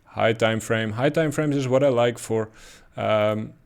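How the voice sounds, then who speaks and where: Man, Dutch accent, mid-pitched voice, casual chart commentary, hesitant fillers. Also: unhurried, creaky. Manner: relaxed, thinking aloud with many ums and uhs. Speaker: crypto chart analyst.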